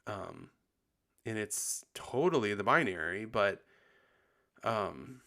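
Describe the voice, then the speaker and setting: A man hosting a podcast in casual confessional chat, sounding husky and tired after bronchitis, rambling with lots of likes and ums. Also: lowish voice, sing-song, slow pace, sharp tone. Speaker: podcast host.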